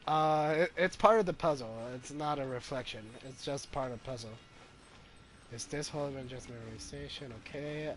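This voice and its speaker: A man's voice: on the high side, normal speed, slight background noise. A man giving casual live gameplay commentary, hesitant and thinking aloud as he goes. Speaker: game streamer. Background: game music.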